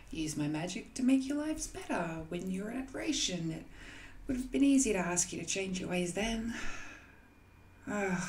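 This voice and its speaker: A woman playing an ancient, banished magical villain in an audio roleplay, musing and seductive, with hesitant, intimate delivery that often softens toward a whisper. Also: lowish voice, slight background noise. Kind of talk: scripted audio roleplay monologue, intimate and addressed to the listener.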